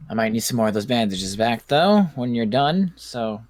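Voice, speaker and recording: A man playing a measured, curious doctor who studies zombies in an improvised apocalypse game roleplay, talking deliberately. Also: mid-range voice, expressive, natural voice. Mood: businesslike.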